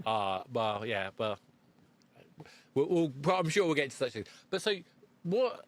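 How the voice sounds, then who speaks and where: A man's voice: mid-range voice, sing-song, measured pace. A man in his fifties hosting a casual interview, hesitant and often searching for his words. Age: 50s. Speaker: podcast host.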